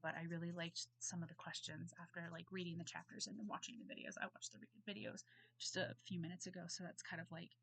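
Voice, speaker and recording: A hoarse woman getting over a cold talks into her computer mic at home, rambling through her thoughts with lots of ums and quick apologies. Her voice is gravelly and fry-heavy but carries a bright, tense edge. Her pitch sits a bit low. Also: little pitch movement, low-quality recording. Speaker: student. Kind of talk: casual recorded reading response. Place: at home on a bed.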